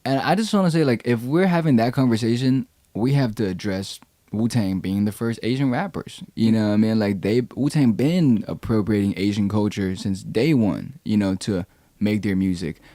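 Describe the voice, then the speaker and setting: A young man with a low, mellow voice talks in a relaxed, slangy way. He is recorded dry and close in a studio, with no room sound. He sounds like a rapper chatting easily as a podcast guest. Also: normal speed, no room sound. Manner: laid-back, slangy, quotes people in raised voices. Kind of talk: casual podcast conversation.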